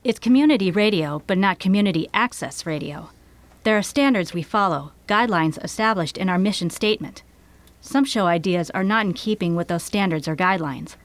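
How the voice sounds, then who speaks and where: A woman's voice: medium pitch, brisk, dry recording, natural voice. A woman plays a firm program director in an audio play. She sounds official and matter-of-fact, her mid-range voice recorded dry and close. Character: firm, businesslike female radio program director. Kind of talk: audio theater acting.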